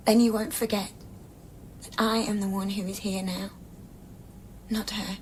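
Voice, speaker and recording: An actress playing a fragile, pleading woman made from memories in a radio drama, hushed and intimate. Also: medium pitch, level tone, unhurried, slight background noise, natural voice, poor audio.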